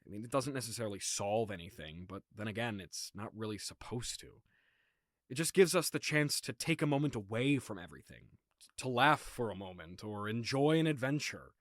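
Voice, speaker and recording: A man's voice: lowish voice, animated, fairly quick, no echo. Casual man, dry close sound. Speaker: founder of an audio production company, playing himself. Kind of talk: casual conversational acting in a comedy skit.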